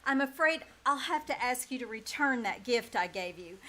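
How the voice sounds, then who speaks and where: A woman playing a wry, quick-witted and easily flustered schoolteacher in an old-time radio comedy, with emphatic theatrical delivery. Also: on the high side, animated, pushed and bright. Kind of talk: old-time radio comedy acting before a live audience. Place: small-town theater stage. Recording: live stage recording with noise.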